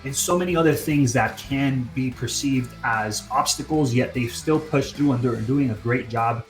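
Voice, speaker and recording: A man's voice: medium pitch, normal speed, echoey room, soft background music, rough recording. A man hosting an interview, introducing his guests and asking questions in an upbeat, encouraging way. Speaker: podcast host, interviewer.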